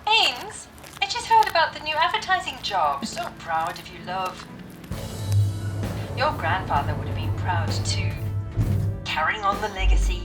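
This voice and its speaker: Proud mother: affectionate, encouraging, medium-pitched female voice with lively swings, music behind. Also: unhurried, pauses often. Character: proud, doting mother leaving a phone message. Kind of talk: audio drama acting. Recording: music clearly underneath.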